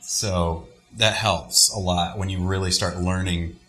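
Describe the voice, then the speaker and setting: Male co-host, low-ish bright voice, easygoing, reads listener mail. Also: unhurried. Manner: relaxed, reads letters aloud with small stumbles. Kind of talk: casual language-lesson chat.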